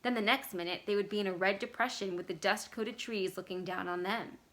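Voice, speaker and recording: A woman reading literary fiction aloud as expressive scripted narration. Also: medium pitch, a little fast. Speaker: library staff story reader.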